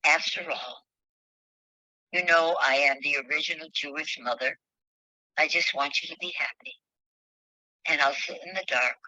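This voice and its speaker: A woman who is a spiritual leader, speaking haltingly with pauses as she reads and shares devotional thoughts with a group over a phone call. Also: rumbling low, pushed and bright, dry recording. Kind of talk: devotional reading and heartfelt group sharing. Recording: dull, dry online call audio.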